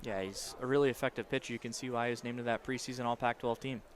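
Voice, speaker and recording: A man's voice: medium pitch, steady tone, quick pace, slight background noise. Male color analyst for baseball radio, mid-range voice, conversational and critical breakdown of each play. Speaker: color commentator.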